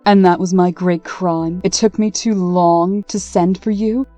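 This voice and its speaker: A woman playing an earnest, restrained fairy-tale princess in a comic dub, speaking in a measured way. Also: lowish voice, fairly even intonation, mellow, faint music behind, close to an everyday voice. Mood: defensive. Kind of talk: dramatic comic dub acting.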